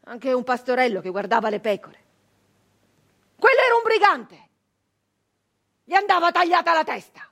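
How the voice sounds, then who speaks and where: An actress playing a fierce, bitter nineteenth-century female brigand in a dramatic theatrical monologue, intense and deliberate. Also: sits high, sing-song, heavily acted. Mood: indignant, defiant. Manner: intense, deliberate, with long pauses, swinging from hushed to outcry. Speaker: stage actress.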